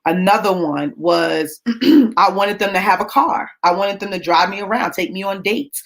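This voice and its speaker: A woman's voice: medium pitch, moderate pace, a bit bright. A woman in her forties hosting a live show, confiding a personal story in a chatty way, often asking "right?". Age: 40s. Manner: chatty, confiding, tag questions. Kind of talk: casual personal talk to viewers.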